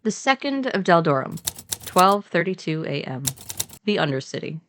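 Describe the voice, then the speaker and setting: A woman's voice: lowish voice, sing-song, moderate pace, fairly bright tone, slight background noise. A woman co-narrating a scripted story in a conversational, playfully bantering way. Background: plucked guitar music.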